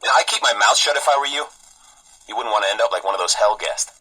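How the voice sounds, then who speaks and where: A very sharp, husky ship engineer. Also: male voice, lowish voice, animated, fast talker, full character voice. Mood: cold, warning. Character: gruff, overworked, complaining ship reactor technician boss. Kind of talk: dramatic video game audio log.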